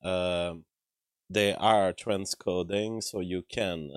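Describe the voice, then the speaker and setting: A man hosting a live stream, hoarse from a cold, talking in a halting way with many fillers and throat-clearing. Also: deep voice, fairly even intonation, no echo, studio quality. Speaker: livestream show host. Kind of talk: casual live-stream commentary reading chat. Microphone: streaming microphone.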